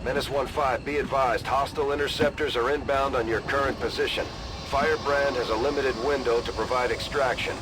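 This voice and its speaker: A man playing a brisk, authoritative military officer giving mission orders in a video game, clipped and commanding. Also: mid-range voice, fairly even intonation, natural voice, low-quality recording. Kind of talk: scripted game mission briefing. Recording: loud music behind.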